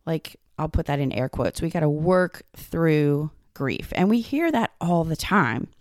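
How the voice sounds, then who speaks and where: A woman hosting her own podcast, talking loosely and conversationally while hoarse and a little raspy from a cold. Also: sits low, animated, measured pace, a little mellow, dry recording, clean studio sound. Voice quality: slightly raspy. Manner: loose, conversational, many "like" and "kind of". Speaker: podcast host. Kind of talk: solo podcast talk.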